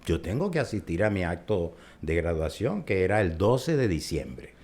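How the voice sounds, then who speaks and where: Older Venezuelan urologist, low-pitched, chatty raconteur. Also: male voice, sing-song, normal speed, a little mellow. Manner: chatty storyteller, recounts at length. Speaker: urologist guest. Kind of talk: conversational interview.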